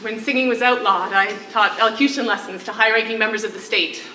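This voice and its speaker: A woman acts out an imprisoned singer with playful wit. Her voice is high, and her delivery is teasing and dramatic in a stage-play way. The hall echoes around her, with faint noise. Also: fast talker, bright, forceful tone, recorded from a distance. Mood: matter-of-fact. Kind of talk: theatrical stage acting.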